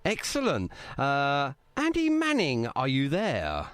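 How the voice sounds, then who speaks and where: A man's voice: medium pitch, wildly swooping pitch, slow, fairly bright tone, clear, resonant tone, dry recording. A man hosting a live radio show in a casual, jokey, rambling way.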